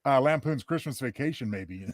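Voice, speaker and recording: A man's voice: medium pitch, animated, moderate pace, over a noisy crowd. A man making casual comedic comments on a podcast.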